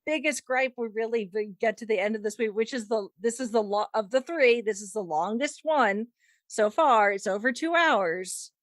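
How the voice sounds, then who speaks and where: A woman chatting casually about films as a podcast panel host, often halting and trailing off mid-sentence. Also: on the high side, dry recording, studio quality. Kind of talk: casual film discussion.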